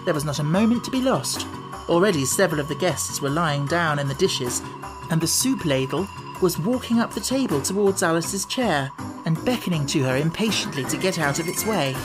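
A woman reading a children's classic as an audiobook narrator, in an expressive storytelling manner. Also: deep voice, a little fast, over music, low-quality recording.

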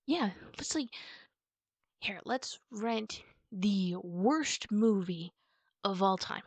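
A woman speaking casually and haltingly in a comforting ASMR roleplay, as a reassuring friend talking to the listener. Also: medium pitch, lively intonation, slightly rough, dry recording. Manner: reassuring, informal, halting with pauses. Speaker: ASMR roleplay performer playing a supportive friend.

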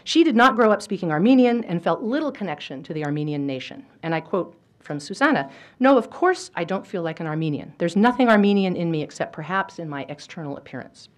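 A middle-aged woman gives a history lecture in a lecture hall. Her voice sits a little low. She speaks thoughtfully and often restarts her phrases with small fillers. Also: very animated, quick pace. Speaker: history professor giving a lecture. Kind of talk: academic lecture with quotations.

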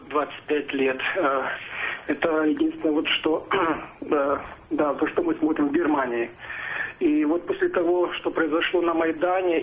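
A man from Ukraine calling in to a radio show, speaking plainly and haltingly. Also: fairly high pitch. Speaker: caller. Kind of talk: call-in comment. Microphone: telephone. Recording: tinny phone line.

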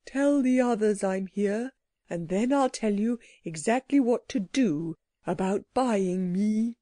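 A small, ragged storybook sand-fairy pleads in a soft, tearful hush, as a woman reader puts it on. The voice is warm and mellow, and sounds dry and close. It confides and begs as if it might cry any moment. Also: mid-range voice, slow, no room sound. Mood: tearful pleading. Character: shabby miserable small sand-fairy creature, sulky and self-pitying.

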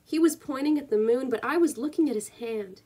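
A woman in her twenties reading poetry and chatting casually on her own video at home, in a measured, deliberate way. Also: high-pitched, mellow, breathy edge. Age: 20s. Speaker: poetry reader and video creator. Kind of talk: spoken word poetry reading with casual introduction. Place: small room at home, indoors.

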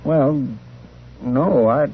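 An actor playing a marshal's earnest, deferential helper in a 1950s radio western, hesitant, folksy and easily flustered. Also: male voice, mid-range voice, drawn-out words, breathy edge. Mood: defensive, flustered. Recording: tinny vintage radio with background noise.

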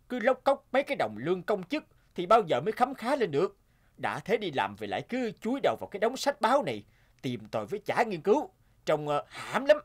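A man voicing a cocky, boastful young gambler in a cartoon, swaggering and scornful as a bossy older brother. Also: fairly high pitch. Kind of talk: animated moral tale dialogue.

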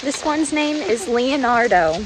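A woman's voice: high voice, expressive, measured pace, a crowd in the background, low-quality recording. A young woman vlogging on a rainy forest hike, giggly and chatty, filming on a phone held at arm's length. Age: young adult. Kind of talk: handheld vlog narration. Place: rainy forest trail outdoors. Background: rain and a stream.

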